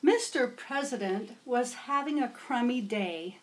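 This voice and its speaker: A middle-aged woman reading a picture book aloud to children in an expressive, measured storytelling style, in a room at home. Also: a little high. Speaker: storybook reader. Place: small home room with bookshelves.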